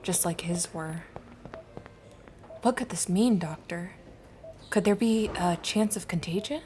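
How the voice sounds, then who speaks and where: A woman's voice: fairly low pitch, unhurried, dark tone, a little hiss or hum, natural voice. A woman playing a doctor's anxious, conscientious assistant in a horror manga dub, speaking earnestly and uneasily. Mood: concerned.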